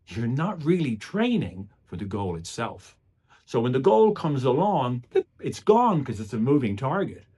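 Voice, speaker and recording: A middle-aged man delivers a motivational talk in a husky voice. His intonation is very lively, rising and dropping across phrases. He sets out each point deliberately, with emphatic pauses in a storytelling style. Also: mid-range voice. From Ireland. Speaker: public speaker. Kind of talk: motivational club speech with storytelling.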